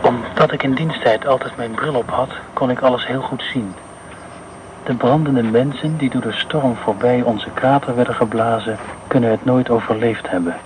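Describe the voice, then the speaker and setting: A man's voice: medium pitch, background noise, close to an everyday voice. A man playing a brooding man haunted by war. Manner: halting, repeats phrases over and over. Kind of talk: radio play acting.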